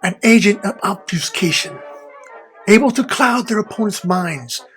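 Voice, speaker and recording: A woman playing a dry-witted, authoritative leader of a ragtag rescue squad in an audio drama, brisk and wry. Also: mid-range voice, animated, soft background music, lightly acted. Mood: brisk, commanding.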